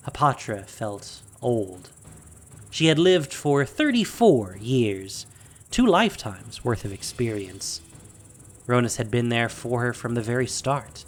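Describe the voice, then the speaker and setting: A man narrating a fantasy audio drama in a measured, theatrical storytelling style. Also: mid-range voice. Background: music and sound effects.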